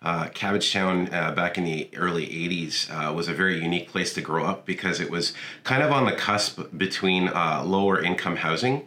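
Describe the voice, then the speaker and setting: A man's voice: low-pitched, fairly quick, bright, forceful tone, reverberant. A man in his forties, a podcast guest telling his story in a friendly, rambling way with many restarts and fillers. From Canada. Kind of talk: casual interview chat.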